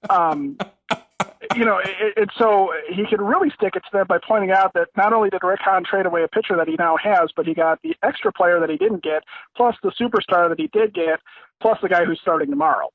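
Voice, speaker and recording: A man's voice: high-pitched, fairly quick, dry recording. Man talking sports on a dull lo-fi connection. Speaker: podcast guest, baseball pundit. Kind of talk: casual sports analysis.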